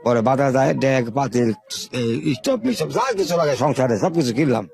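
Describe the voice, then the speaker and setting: An older man from Bangladesh, a guest telling his life story in a rambling, halting, repetitive way. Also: mid-range voice, animated, creaky, over a noisy crowd. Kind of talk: informal spoken life story.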